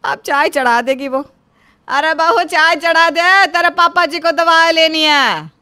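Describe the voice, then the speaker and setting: Woman as sharp, high nagging mother-in-law. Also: measured pace, exaggerated voice. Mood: calling, explaining. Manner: urgent, nagging. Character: anxious, nagging middle-aged mother-in-law. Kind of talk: Hindi cartoon story acting.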